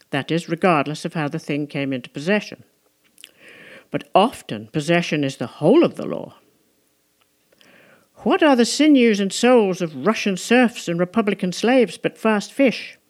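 Female audiobook narrator, mid-pitched, measured and rhetorical, for literary prose. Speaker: audiobook reader.

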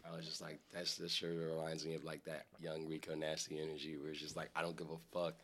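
A man's voice: a bass voice, monotone, quick pace, fairly bright tone, a little hiss or hum. A man from New York co-hosting a casual podcast chat, playful and chatty, filling his talk with "like". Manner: chatty, playful, says "like" often. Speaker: podcast co-host.

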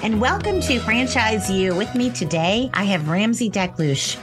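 A woman's voice: mid-range voice, animated, normal speed, music in the background. A woman hosting a podcast interview, welcoming and enthusiastic with her guest. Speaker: podcast host.

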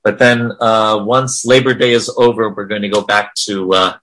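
A man's voice: lowish voice, flat delivery, moderate pace, a bit bright, rough recording. A man leading an informal religious class in an encouraging, affirming way. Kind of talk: informal spiritual class discussion.

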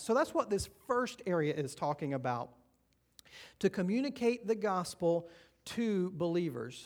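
A man with a Southern American accent preaching a sermon to a church, talking in a conversational way and often asking the congregation questions. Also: sits high. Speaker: pastor.

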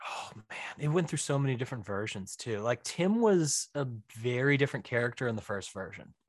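A man chatting casually as an interview guest, animated and rambling, often correcting himself mid-sentence. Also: mid-range voice, a little fast, dry recording, clean studio sound. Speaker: writer-director of audio dramas, podcast guest.

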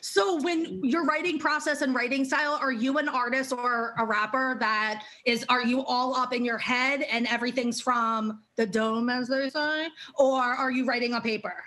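A woman's voice: high-pitched, fairly even intonation, normal speed, few pauses, sharp tone. A woman hosting a casual interview, effusive and chatty, swearing freely and filling with "like" and "um". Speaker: interview host.

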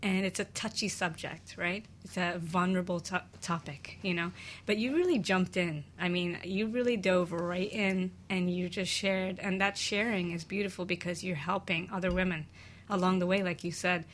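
Slightly low-voiced, encouraging woman. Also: steady tone, normal speed, a little hiss or hum. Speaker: co-host. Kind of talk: conversational talk show discussion.

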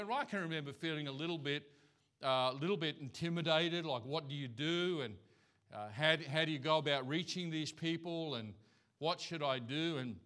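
A man's voice: fairly high pitch, bright, forceful tone. A man from Australia with an Australian accent, a missionary preacher telling a story in a sermon, talking conversationally with lots of fillers and restarts.

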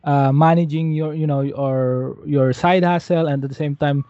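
A man's voice: on the high side, steady tone, moderate pace, warm tone, no room sound, dull audio. A man hosting a casual interview in a rambling way, often saying "you know". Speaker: podcast host.

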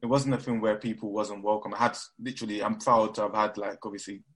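Husky low-voiced man, Nigerian-London accent. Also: level tone, quick pace. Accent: mixed London and Nigerian. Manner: rambling with frequent fillers and restarts. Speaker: guest. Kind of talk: casual interview chat.

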